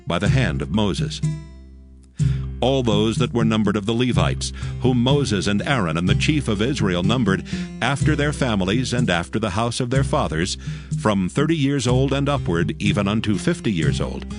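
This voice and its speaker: Casting: male scripture narrator, lowish voice, formal and measured, plucked-string music underneath. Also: expressive. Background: plucked string instrument music.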